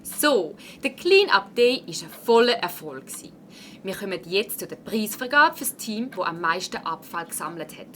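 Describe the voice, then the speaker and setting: A woman playing a brisk, emphatic schoolteacher in a children's audio play, with a Swiss accent. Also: medium pitch, big pitch swings, a bit bright, a little hiss or hum. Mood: announcing, pleased. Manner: emphatic, announcing. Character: brisk woman schoolteacher running a class clean-up. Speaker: teacher.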